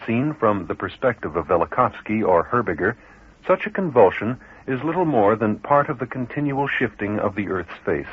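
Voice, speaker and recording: Through a thin, tinny radio sound, a man narrates a scripted documentary. His low voice is husky, formal and measured, with animated rises and falls. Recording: tinny, band-limited radio recording.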